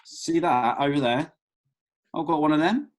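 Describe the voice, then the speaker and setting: A man's voice: fairly high pitch, a little slow, lightly acted. A man playing an awkward, eager young man in a script read, hesitant and conversational. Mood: defensive, boastful.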